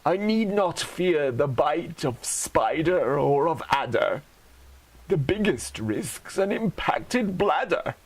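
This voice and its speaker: A man's voice: fairly high pitch, sing-song, slow pace, husky. A man reading comic poetry theatrically, with a mock-grave, declamatory manner. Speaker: poet reading own poems.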